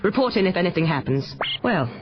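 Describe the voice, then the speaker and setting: A woman's voice: lowish voice, big pitch swings, brisk, noisy background. An actress playing a stern, commanding female spaceship captain with clipped delivery in an audio drama. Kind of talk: science fiction audio drama acting. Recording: dull audio, music behind.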